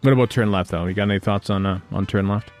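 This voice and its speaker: A man's voice: fairly low pitch, fast talker, a little mellow, soft background music. A man hosting a podcast, chatting casually about a TV series in a jokey way. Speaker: podcast host.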